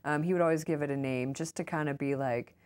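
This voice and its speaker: A woman with a low-pitched voice speaks as a guest in an interview. She explains things informally through anecdotes, slipping into short quoted remarks as she goes. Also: fast, a little mellow, clear, resonant tone. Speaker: interview guest, author and urban farmer. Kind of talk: conversational interview answers, anecdotal.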